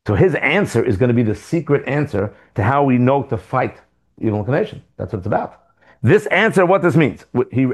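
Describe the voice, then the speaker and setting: A man teaching a Torah class to students in a classroom, speaking at a middle pitch. He is animated, asking rhetorical questions and jumping suddenly in pitch and loudness to press each point. His pace shifts between quick runs and slow, stressed words. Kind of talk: lively Torah class lecture.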